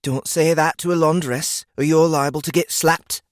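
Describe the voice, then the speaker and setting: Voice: mid-range voice, fairly even intonation, normal speed, no echo, clean studio sound, pitched above the performer's own voice, natural voice. A narrator voicing a brash, mocking, experienced woodswoman in a fantasy audiobook, blunt and amused. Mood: curious, wry.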